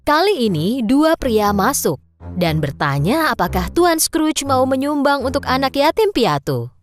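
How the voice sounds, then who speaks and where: Lively woman narrating a children's story. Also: high-pitched, a little slow, soft background music.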